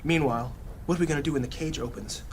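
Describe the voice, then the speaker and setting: Man playing a weary, higher-voiced renegade psychic. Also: fast, a little hiss or hum, lightly acted. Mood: wry, doubtful. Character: weary, doubtful male psychic renegade, anxious and wry. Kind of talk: high fantasy audio drama acting.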